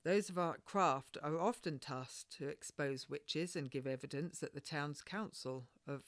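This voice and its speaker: A woman's voice: low voice, unhurried, dry recording. A proud, pious midwife, as a woman reader plays her, speaks firmly and admonishes in old-fashioned phrases. It is a storybook voice, recorded dry and close. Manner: firm, admonishing, archaic phrasing.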